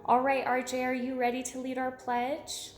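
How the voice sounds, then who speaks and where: A woman's voice: sits high, monotone, normal speed, reverberant. A woman preschool teacher reading aloud to young children, encouraging them with simple repeated phrases and questions.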